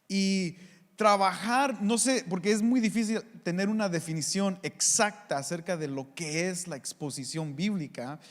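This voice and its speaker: A man's voice: sits high, normal speed. A man with a Mexican accent moderating a panel in an informal, joking way. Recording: reverberant hall sound.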